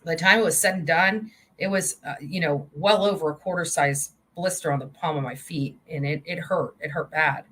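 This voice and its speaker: A woman giving casual, unscripted online commentary in a halting, conversational way, often repeating herself. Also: sits low, fairly bright tone, slightly rough, low-quality recording.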